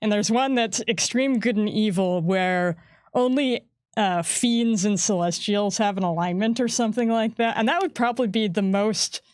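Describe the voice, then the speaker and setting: A woman of middle pitch chats about tabletop games in a loose, conversational way. She laughs often and fills her sentences with "like". Her voice comes through close and dry. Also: moderate pace, darkish tone, dry recording. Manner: chatty, laughs often, frequent "like". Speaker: game writer co-host. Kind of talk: casual livestream chat.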